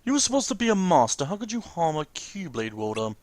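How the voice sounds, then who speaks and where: A man's voice: fairly high pitch, big pitch swings, brisk, a little mellow, voice pushed up. A man voicing stern, haughty fantasy warriors from a game, reading their dialogue aloud in a declamatory, confrontational way. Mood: stern, challenging.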